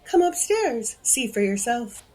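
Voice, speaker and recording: A woman's voice: fairly high pitch, very animated, a little slow, over loud music. An actress playing a smug, vain socialite in an audio drama, haughty and mocking in her delivery. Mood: smug, gloating. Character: smug, vain, theatrical body-stealing socialite woman.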